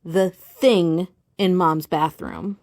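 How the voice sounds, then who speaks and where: A woman's voice: on the low side, fairly even intonation, drawn-out words, darkish tone, dry recording. A woman playing a dry, skeptical adult family member in audio play dialogue. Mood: matter-of-fact.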